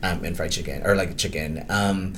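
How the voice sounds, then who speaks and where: A man's voice: low-pitched, steady tone, normal speed, fairly bright tone, a little hiss or hum. A middle-aged man from the Philippines, a Tagalog speaker, talking as an interview guest, thoughtful, often restarting and adding "right?". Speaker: guest, professor. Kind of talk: conversational interview.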